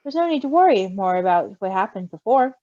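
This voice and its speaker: A woman reading fan fiction and chatting casually, with a giggly, expressive manner. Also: medium pitch, brisk, dry recording, muffled recording. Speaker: story reader and co-reactor.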